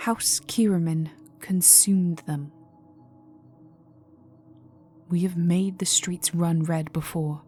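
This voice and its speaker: A woman playing a cold, vengeful aristocratic vampire in a dark ASMR roleplay, speaking formally and menacingly and often sinking to a whisper. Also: lowish voice. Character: cold vengeful aristocratic female vampire, young heiress in mourning.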